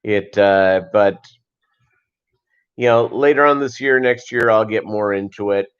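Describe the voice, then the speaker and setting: A middle-aged man chatting casually as a livestream host, in a rambling, halting way that often repeats phrases. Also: on the low side, clean tone. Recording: dull lo-fi livestream audio.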